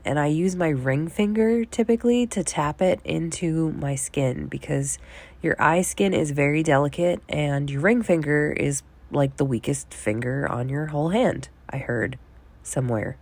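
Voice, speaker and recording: A woman's voice: low-pitched, moderate pace. A young woman with a cold, sounding nasal, chatting casually through a voice-over tutorial and checking in with "okay?". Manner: relaxed, chatty, says "okay?" often. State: sick, nasal. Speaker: beauty vlogger. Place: small bedroom.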